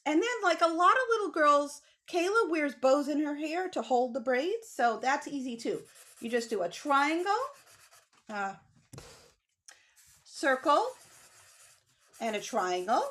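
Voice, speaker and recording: A middle-aged woman leading a drawing lesson for children, explaining simply with animated emphasis on key words. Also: high-pitched, unhurried, pauses often. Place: small home room with bookshelves. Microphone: computer mic on a video call.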